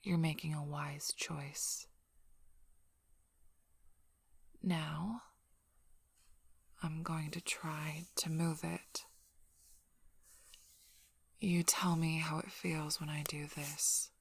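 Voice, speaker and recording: A woman playing a curt, sardonic, guarded female werewolf pack leader in an ASMR role-play, speaking bluntly and drily in a breathy, often whispered voice. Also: sits low, level tone, heavily acted. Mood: clinical, guarded. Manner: blunt, dry, impatient, unhurried.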